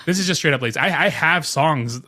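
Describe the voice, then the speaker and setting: Casual male co-host, thirties, native Spanish speaker; quick, bright delivery, joking storyteller, dry close mic. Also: on the high side, dry recording. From New York. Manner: storytelling, joking, animated retelling. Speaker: podcast co-host. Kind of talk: casual chat.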